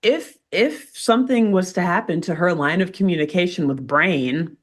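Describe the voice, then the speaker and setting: Low-voiced woman recapping a cartoon. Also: moderate pace. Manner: animated storyteller, fond of joking asides. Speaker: podcast co-host recapping a cartoon. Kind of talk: casual chat.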